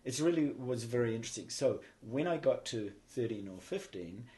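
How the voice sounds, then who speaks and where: A man's voice: medium pitch, lively intonation, normal speed, warm tone. A man with a New Zealand accent, a guest telling his life story in a reflective, meandering way, often restarting his sentences. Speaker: guest, spiritual teacher. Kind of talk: casual storytelling interview.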